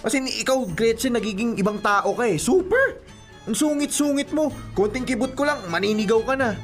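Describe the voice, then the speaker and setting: A young, clingy boyfriend in a radio drama. His delivery swings widely in pitch, playful and whiny, full of exaggerated mock deference. Music plays clearly behind him. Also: lively intonation, fairly quick. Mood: exasperated. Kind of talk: melodramatic radio drama dialogue in casual Taglish.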